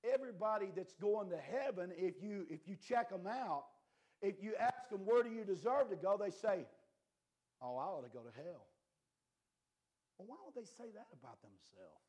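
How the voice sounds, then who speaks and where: A man preaching a fiery sermon, impassioned and swinging between quiet and loud, his voice a little worn. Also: high voice, animated, normal speed, husky.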